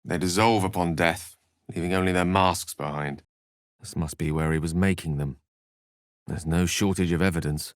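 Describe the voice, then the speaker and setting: An actor playing an eloquent, wry wizard in a video game, speaking in articulate, theatrical phrases with an earnest streak. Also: male voice, low-pitched, fairly even intonation, moderate pace, no room sound, studio quality, close to an everyday voice. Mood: observant. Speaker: video game companion character. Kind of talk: scripted video game voice acting.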